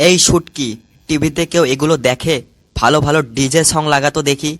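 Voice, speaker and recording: A man's voice: a little high, fairly even intonation, brisk, fairly bright tone, natural voice. A man voicing a brash, foul-mouthed, complaining young village friend in a comic cartoon dub. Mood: mocking, brash. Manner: complaining, bantering.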